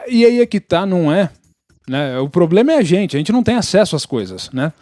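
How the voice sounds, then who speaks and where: A man's voice: high-pitched, big pitch swings, brisk, a little mellow, no echo, clean studio sound. A Brazilian man chatting casually on a podcast, explaining things in an opinionated, informal way. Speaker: podcast participant. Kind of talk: casual pop-culture chat.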